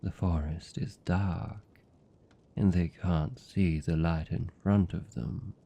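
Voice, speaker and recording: A man with a British accent playing a seductive, possessive vampire captor in an intimate ASMR roleplay, hushed and deliberate, tender yet menacing. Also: fairly even intonation, heavily acted. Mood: calm, sly. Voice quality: gravelly, dark, low. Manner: slow, hushed, deliberate, teasing.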